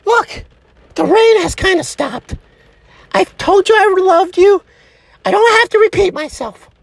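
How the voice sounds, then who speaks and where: A lovesick melodrama heroine, very high and swooping in pitch. She gushes breathily and takes her time, drawing out words theatrically as if in a parody romance. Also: expressive, measured pace, vocal fry, no room sound, heavily acted. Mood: curt, impatient. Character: Sheila, gushy, lovesick, melodramatic woman with fickle temper.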